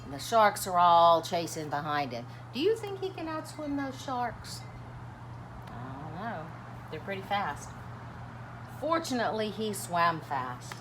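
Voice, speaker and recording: A woman reading a children's picture book aloud like a teacher, dramatic and expressive, chatting and asking questions of young listeners. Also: mid-range voice, unhurried. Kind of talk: animated storytime read-aloud with commentary and questions. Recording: clearly noisy background.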